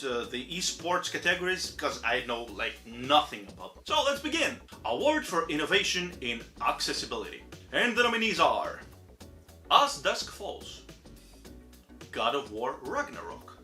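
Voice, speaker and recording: A young man from Greece with a Greek accent, a native Greek speaker, chatting casually and self-deprecatingly about game award nominees as a channel host. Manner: chatty and self-deprecating, animated swings in pitch. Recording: roomy echo with faint music behind.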